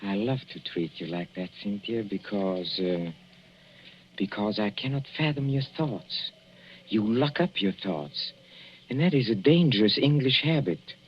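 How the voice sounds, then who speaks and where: Slow, cloying husband, old radio. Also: male voice, on the low side, animated, slightly rough. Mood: coaxing, ominous. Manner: slow, coaxing, oily endearments with small chuckles. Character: fussy, cloying older husband with veiled menace. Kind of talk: 1940s radio drama acting. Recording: dull, noisy old radio recording.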